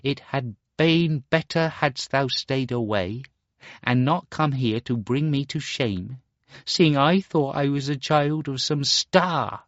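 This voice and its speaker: A man's voice: sits high, dry recording, highs cut off, pitched above the performer's own voice, natural voice. A man voicing a proud, cruel boy in a fairy tale who later turns remorseful, speaking in a raised, indignant voice. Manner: haughty, indignant.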